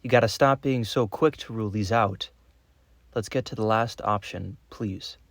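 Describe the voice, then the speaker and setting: An actor playing a pragmatic, dismissive government boss in naturalistic meeting dialogue, glibly brushing off objections. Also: male voice, lowish voice, moderate pace, gravelly, dry recording. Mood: glib, impatient.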